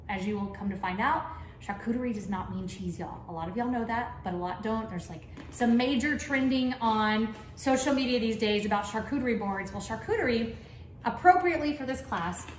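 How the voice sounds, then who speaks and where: A woman with a Texas accent, from Texas, chatting enthusiastically as she teaches a cheese tasting class. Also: a little high. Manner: chatty and enthusiastic, folksy asides. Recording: echoey room, faint background noise.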